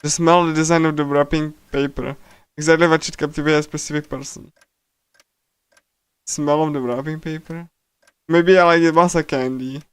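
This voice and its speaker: A man livestreaming a game, casually commenting and reading aloud in a halting way, often repeating himself and stumbling. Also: fairly high pitch, steady tone, clear, resonant tone, no echo. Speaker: streamer. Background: game music.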